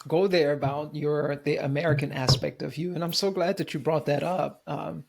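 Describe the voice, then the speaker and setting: A man hosting an interview podcast, speaking slowly and haltingly, affirming his guest. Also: a little high, steady tone, gravelly, a little mellow. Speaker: podcast host. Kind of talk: conversational interview.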